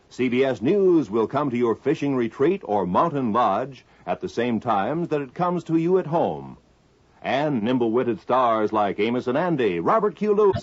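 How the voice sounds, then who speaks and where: A man reading a scripted 1950s radio network promo in a polished, salesmanlike way. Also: mid-range voice. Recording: dull lo-fi 1950s radio recording.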